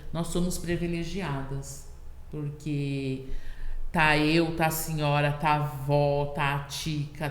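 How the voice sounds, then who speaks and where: A Brazilian woman with a slightly low voice talks in an earnest, heartfelt way, often checking in with her listeners. She is a health worker who is a guest on a casual podcast. The room is roomy and echoing, with a long reverb tail. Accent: Brazilian Portuguese. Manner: earnest, keeps asking "sabe?". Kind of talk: casual interview chat.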